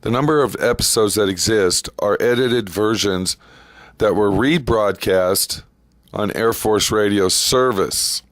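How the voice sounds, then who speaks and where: A man hosting a vintage radio channel, introducing an old mystery episode in a relaxed way with small asides. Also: on the low side, measured pace, no echo. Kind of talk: slow scripted introduction.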